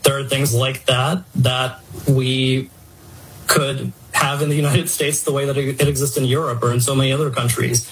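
A man, an organization's co-chair, giving measured, somewhat hesitant answers in a cable news interview. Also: medium pitch, level tone, slight background noise, poor audio.

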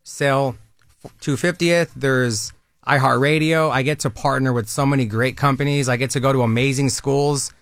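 A man in his thirties talks in a persuasive, friendly way, like a recruiter on a radio interview. His voice sits at a middle pitch. The sound is dry and close with no room echo. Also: steady tone, normal speed, fairly bright tone. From Southern California. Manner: upbeat, persuasive, conversational. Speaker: military recruiter guest.

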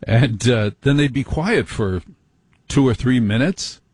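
A male late-night radio host with a somewhat husky voice and a wry, teasing delivery. He jokes and banters with callers in a dry, close studio sound. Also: medium pitch, animated, a little slow, darkish tone, no echo. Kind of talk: call-in radio talk.

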